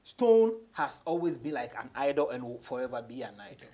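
A man's voice: mid-range voice, very animated, fairly quick, warm tone. A young Ghanaian man, a rapper being interviewed, casually telling stories in a mix of English and Twi. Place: small radio studio office, seated on a couch.

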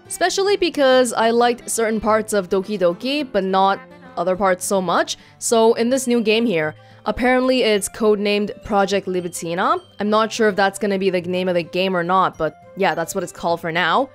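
A woman's voice: mid-range voice, moderate pace, soft background music. A woman giving casual commentary as the host of a video game let's play.

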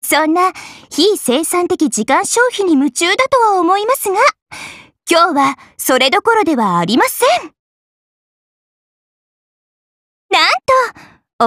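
A woman voicing a mischievous, smug AI girl in an anime game, with a cutesy, theatrical announcer's delivery and teasing drawn-out words. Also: extremely high pitch, a bit bright, no echo, full character voice. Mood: perky, teasing. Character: teasing, mischievous AI girl, self-styled adorable junior. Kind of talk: Japanese anime game voice acting. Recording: studio voice over background music. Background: music.

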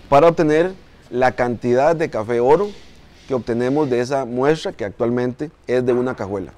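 A man in his forties with a Costa Rican accent, explaining a technical procedure in a measured, methodical way, recorded in a coffee mill warehouse. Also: mid-range voice, a little mellow. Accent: Costa Rican Spanish. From Costa Rica. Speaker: coffee institute engineer. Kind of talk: explanatory interview.

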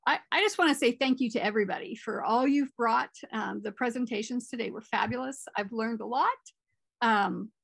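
A woman's voice: a little high, fairly quick, gravelly, a bit bright, slightly rough, dry recording. A middle-aged woman moderating a conference Q&A over a video call.

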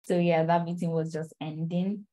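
A woman's voice: on the low side, fairly even intonation, normal speed, dark tone. A woman who works as a community lead, introducing herself on a video call, hesitant, with many restarts and light laughs.

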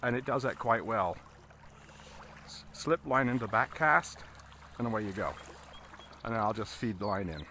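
A man giving a casual, halting fly fishing tutorial as an instructor, talking while he works outdoors. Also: fairly low pitch, lively intonation, pushed and bright. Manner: halting, explaining as he casts. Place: outdoors, standing in a river. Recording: outdoor recording with water noise. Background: running water.

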